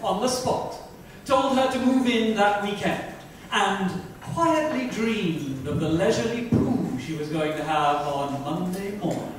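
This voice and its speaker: A man's voice: high-pitched, animated, measured pace. A man giving a theatrical reading of scripted story narration. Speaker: reader at a dramatic book reading. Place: club lounge, indoors. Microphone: distant microphone. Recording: very echoey room, distant mic, faint noise.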